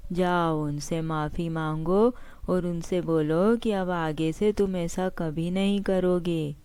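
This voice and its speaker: A woman voicing a stern but forgiving old grandfather in a children's cartoon story, admonishing in a measured way. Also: fairly low pitch, mellow, clear, resonant tone.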